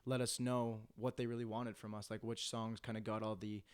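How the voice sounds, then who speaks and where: A man's voice: lowish voice, flat delivery, brisk, clear, resonant tone. A young man, a band musician talking casually as an interview guest.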